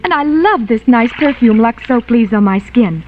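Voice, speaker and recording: An actress playing a bubbly young wartime housewife talking aloud to herself in a 1940s radio soap commercial. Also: female voice, fairly high pitch, expressive, moderate pace, slight background noise, natural voice. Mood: relieved, pleased. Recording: muffled vintage 1940s radio broadcast.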